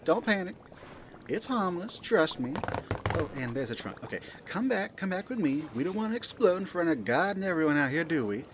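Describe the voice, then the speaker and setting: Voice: medium pitch, big pitch swings, brisk, noisy background, close to an everyday voice. An amateur actor voicing a flirty, motherly pink balloon elephant who serves at a soda bar, with a folksy, coaxing manner in an audio play. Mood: flustered, reassuring. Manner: folksy, coaxing, calls listener sweetie and dear. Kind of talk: amateur audio play, second-person roleplay monologue.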